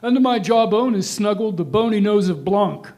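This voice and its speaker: A man playing a proud dead small-town gentleman, reciting an epitaph in a measured, declamatory reader's theatre style. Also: high voice, lively intonation, pitched above the performer's own voice. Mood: solemn, forlorn. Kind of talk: dramatic monologue, staged poetry reading.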